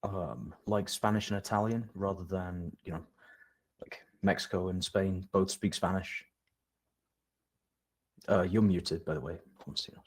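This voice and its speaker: A man's voice: low voice, fairly even intonation, measured pace, pauses often. A man moderating an online session, casual and loose, often repeating words as he hands the floor to speakers. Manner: casual, lots of fillers, repeats himself. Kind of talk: moderating a video call session. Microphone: video call.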